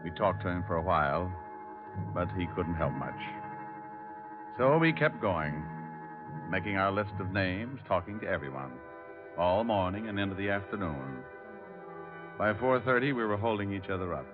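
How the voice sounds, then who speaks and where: An actor playing a weary, hard-boiled private detective, narrating a 1950s radio drama in a terse, dry manner. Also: male voice, low-pitched, animated, moderate pace, pauses often, over music. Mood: grim, weary. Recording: dull old radio recording.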